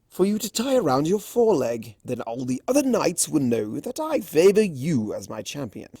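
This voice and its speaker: A smug, flamboyant male trickster creature from a storybook acted out by a narrator, swooping widely in pitch and recorded very dry. He teases theatrically, stretching his words for grand effect. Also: creaky, mellow, breathy edge, no echo, exaggerated voice. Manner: theatrical, teasing, drawn-out emphasis. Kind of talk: storybook character voicing.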